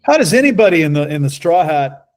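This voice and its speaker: A middle-aged man with a low bass-baritone voice, a voice actor talking at length in a casual interview. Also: fast talker. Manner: relaxed, talkative, storytelling. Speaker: voice actor guest.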